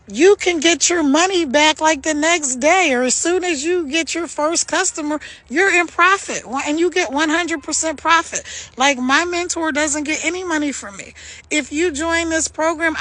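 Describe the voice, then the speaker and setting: A woman with a gravelly yet high voice chats straight to her phone while out walking. Her talk is upbeat and breezy, broken by easy laughs, and the sound is close and dry. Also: a bit bright, dry recording. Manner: chatty, upbeat, laughs often. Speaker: online business vlogger. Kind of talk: casual direct-to-camera pitch. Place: outdoors, walking. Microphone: phone held by hand.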